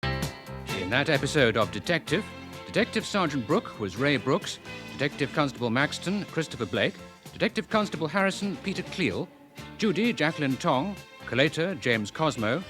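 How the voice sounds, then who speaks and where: A British man announces the credits of a radio programme from a script. His voice is slightly high and very varied in pitch, with music playing behind it. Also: big pitch swings, moderate pace. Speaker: continuity announcer.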